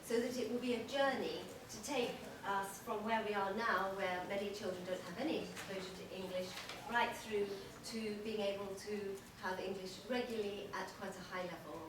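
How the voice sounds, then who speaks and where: A woman presents at a conference in a steady, measured way, stopping now and then to find her words. Her voice sits at an ordinary middle pitch. It is picked up from a distance in a large, very echoey hall with clear background noise. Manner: measured, steady delivery with frequent hesitations. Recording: echoey, noisy hall from far off.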